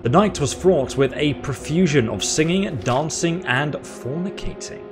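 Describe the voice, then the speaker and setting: A man's voice: a little high, a little slow, music in the background. A man hosting a game playthrough with casual commentary and a playful, mock-dramatic manner. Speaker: gaming video host. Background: game soundtrack.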